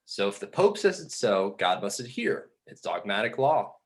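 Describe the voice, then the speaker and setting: A man playing a pedantic exiled angel in a film script table read, explaining things in a dry, measured way. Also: on the low side, big pitch swings. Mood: reasoning. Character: Bartleby, exiled male angel, reasoning, pedantic, exasperated schemer.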